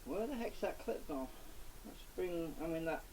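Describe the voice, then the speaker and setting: A man with a slightly high, mellow voice talks casually as he works on a repair. He mutters and thinks aloud in an unscripted way. The amateur camera recording carries some faint background noise. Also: expressive, normal speed, poor audio. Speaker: hobbyist electronics repairer presenting his own video. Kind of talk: casual unscripted commentary while working.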